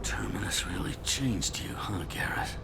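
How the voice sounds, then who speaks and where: An actor playing a sleazy, smug criminal fixer in a video game, gravelly and sneering. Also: male voice, low voice, unhurried, whispered, heavily acted. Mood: grudging. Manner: sneering, sarcastic. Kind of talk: video game dramatic dialogue.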